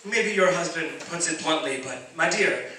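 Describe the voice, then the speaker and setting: Man acting soothing doctor, bright echoing voice. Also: a little high, expressive, moderate pace. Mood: reassuring. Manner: soothing, coaxing. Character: patient, kindly, reassuring family doctor. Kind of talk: radio play acting. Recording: echoey hall from far off, faint noise.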